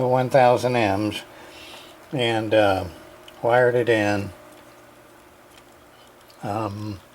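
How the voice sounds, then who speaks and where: A man giving a casual, halting walkthrough of a radio repair, full of fillers and restarts. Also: mid-range voice, darkish tone, slight background noise.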